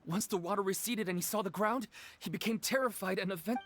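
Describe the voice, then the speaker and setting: An actor playing a dutiful, formal rescue squad subordinate in a video game. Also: male voice, high voice, fast talker, fairly bright tone, dry recording. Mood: urgent report.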